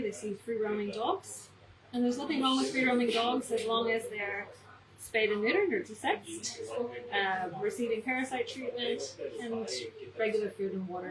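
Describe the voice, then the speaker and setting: An American woman co-founder of an animal welfare charity, answering interview questions earnestly with many ums and restarts. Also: on the high side. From United States. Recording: echoey, distant mic with loud crowd noise.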